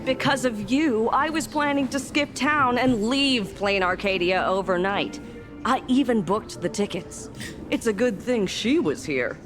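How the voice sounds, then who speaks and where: A woman's voice: a little high, expressive, a little slow. A woman voicing a sardonic, exasperated female doctor in a game, speaking in a sarcastic, weary way. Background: game music.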